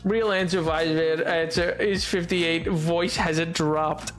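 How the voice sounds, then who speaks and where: A man's voice: on the high side, fairly even intonation, slow pace, a bit bright, soft background music. A man streaming and chatting casually with viewers. He talks playfully, rambling with lots of fillers and restarts. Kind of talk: casual live-stream chat, answering viewers.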